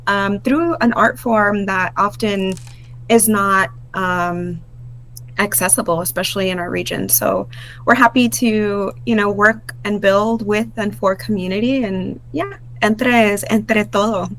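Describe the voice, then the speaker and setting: A woman with a mid-range voice speaks casually over a video call, leaning on fillers as she talks. Her tone stays easygoing and unhurried. A faint hum sits behind her voice. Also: mid-range voice, rough recording. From Brownsville, Texas. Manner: relaxed, many fillers like um and you know. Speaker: worker-owner of a community film center. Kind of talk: casual info-session presentation.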